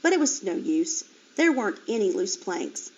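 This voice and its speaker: A woman teacher reading a children's chapter book aloud with expressive, lively storytelling, on a dull lo-fi recording. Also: mid-range voice, normal speed.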